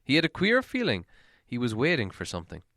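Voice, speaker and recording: A man narrates an audiobook in a dramatic storybook style. His medium-pitched voice moves up and down a great deal, and the recording is close and dry. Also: huge pitch swings, quick pace, bright, forceful tone, dry recording.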